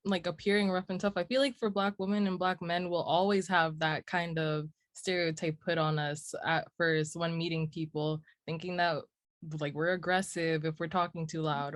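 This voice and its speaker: A woman's voice: on the low side, no room sound, clean studio sound. A young woman hosting an interview over a video call, asking questions in a chatty, casual way with many "like" fillers. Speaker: interviewer, host. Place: home room, indoors.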